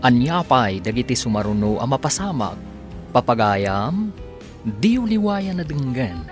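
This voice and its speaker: A man narrating and announcing a radio drama in a measured broadcast manner. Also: medium pitch. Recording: radio studio with music bed.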